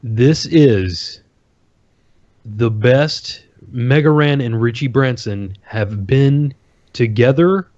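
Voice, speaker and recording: A man co-hosting a podcast in casual chat, laid-back and quick to laugh. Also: mid-range voice, darkish tone, no echo, dull audio. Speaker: podcast co-host.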